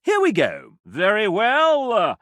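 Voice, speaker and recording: A man's voice: high-pitched, huge pitch swings, slow, a bit bright, dry recording, clean studio sound, heavily acted. An actor playing a sassy, theatrical male game-show host mascot in a video game, with pompous, showy, flowery delivery. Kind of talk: video game character voice lines, game-show hosting.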